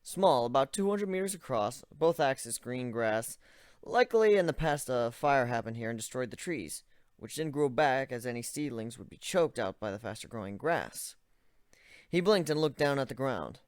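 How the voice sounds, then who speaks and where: Guy reading a fan story out loud, steady plain narrating, mid pitch voice, dry close sound. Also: moderate pace, smooth, no echo. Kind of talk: amateur dramatic reading of a story.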